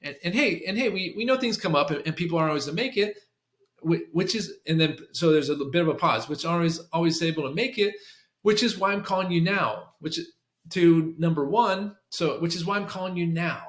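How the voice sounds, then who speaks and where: A man giving an instructional walkthrough as a trainer, restarting phrases and often checking in with a quick question. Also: fairly high pitch, fairly bright tone. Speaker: sales call trainer for a clinic. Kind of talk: instructional screen-share walkthrough with demonstrated scripts. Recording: roomy, echoing room sound.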